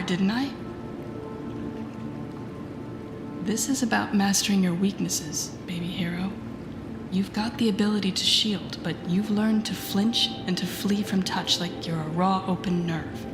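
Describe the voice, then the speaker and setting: A woman playing a confident, teasing telepathic villain in an intimate audio roleplay, speaking softly and often near a whisper in a soothing, guiding way. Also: lowish voice. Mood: teasing, flirtatious, then firm, coaxing. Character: teasing, confident female telepathic villain coaching a rookie hero. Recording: echoey indoor pool sound, music behind. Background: music.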